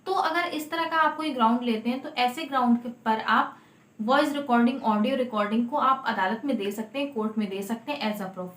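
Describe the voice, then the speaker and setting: High female voice, echoey untreated room, lawyer explaining informally, emphatic, repetitive phrasing. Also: a little fast, a bit bright. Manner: emphatic, repeats and rephrases key points. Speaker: lawyer presenting legal advice videos. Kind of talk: informal explanatory legal talk to camera.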